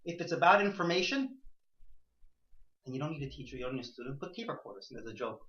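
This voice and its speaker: Animated man teaching in an echoey room. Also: medium pitch, a little hiss or hum. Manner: rhetorical questions, repeats and rephrases himself. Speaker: teacher leading a class. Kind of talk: interactive lecture to a small class. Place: indoor room with a few students. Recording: echoey room, dull muffled sound.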